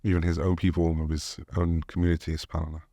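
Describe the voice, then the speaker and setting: A man's voice: sits low, flat delivery, fast talker, dark tone, no echo, studio quality. A male podcast host who backs up his guest with short, affirming interjections.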